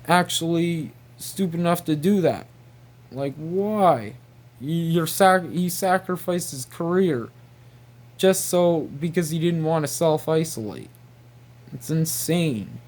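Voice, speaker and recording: A man's voice: a little high, darkish tone. A man rambling casually to viewers, exasperated and incredulous, repeating himself and peppering his talk with mild slang swearing. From New Brunswick, Canada. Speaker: video creator.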